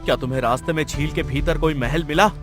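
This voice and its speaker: Cartoon king, played by a man: earnest, lovestruck, fairly high male voice over music. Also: fast, fairly bright tone, close to an everyday voice. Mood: curious, puzzled. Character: lovestruck, determined king from a fairy tale. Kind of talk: Hindi cartoon story voice acting.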